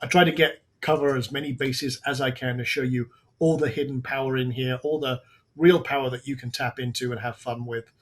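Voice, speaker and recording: A man hosting a live software webinar, talking casually through on-screen steps with frequent restarts while his voice goes hoarse. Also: medium pitch, steady tone, brisk. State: voice going hoarse. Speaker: webinar host.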